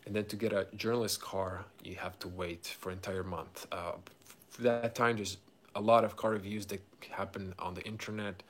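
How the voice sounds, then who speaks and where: A man from Croatia with a Croatian accent, casually chatting to viewers as a car reviewer on a live stream, halting and thinking aloud. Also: sits low, steady tone, a bit bright. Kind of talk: casual unscripted chat with viewers.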